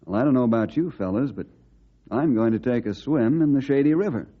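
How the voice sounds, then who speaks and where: Man as a steady young ranger, muffled vintage sound. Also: medium pitch, lightly acted. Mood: casual. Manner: earnest, polite, measured. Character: young, steady, respectful male ranger hero. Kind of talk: old-time radio drama acting. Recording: dull old radio recording.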